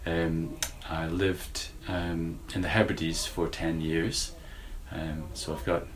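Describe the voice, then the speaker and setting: A man in his forties from the United States with a slightly Scottish-tinged American accent, answering interview questions thoughtfully and with many pauses. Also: extremely low pitch, level tone, slight background noise. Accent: American with Scottish influence. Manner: thoughtful, hesitant with many fillers. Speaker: university lecturer.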